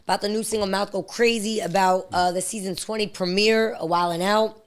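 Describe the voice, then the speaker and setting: Slightly hoarse lady guest on a podcast, joking around and teasing the guys. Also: mid-range voice, steady tone, brisk. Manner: playful, teasing banter. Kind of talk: casual podcast chat. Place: podcast studio.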